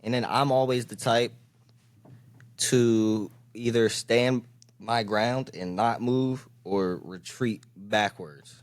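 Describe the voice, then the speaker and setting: A man's voice: mid-range voice, steady tone, slow, no echo. A young man from the DMV area co-hosting a podcast, talking in laid-back slang and laughing often. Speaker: podcast co-host. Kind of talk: casual podcast chat.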